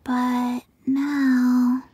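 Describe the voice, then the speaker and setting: A woman voicing a composed, knowing female companion with a measured manner in an anime-style video game. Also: high-pitched, monotone, mellow, husky, dry recording, exaggerated voice. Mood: concerned.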